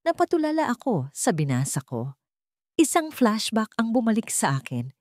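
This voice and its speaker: A woman's voice: fairly high pitch, huge pitch swings, normal speed, a little mellow, no room sound, clean studio sound. A woman reading a scripted first-person dramatic story as its narrator.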